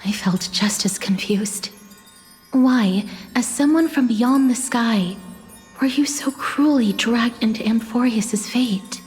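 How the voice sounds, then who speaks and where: A gentle, melancholy young woman speaks very slowly in a soft, breathy, slightly high voice. It is a solemn game cutscene monologue, set in long reverb with music underneath. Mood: wistful regret. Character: gentle sorrowful young woman cursed with a deadly touch.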